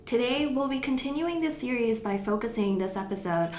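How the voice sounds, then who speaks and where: A young woman from Hong Kong hosting a podcast, reading in a measured, careful way. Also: on the high side, expressive, darkish tone. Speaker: podcast host. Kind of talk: scripted podcast narration. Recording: echoey room with faint noise.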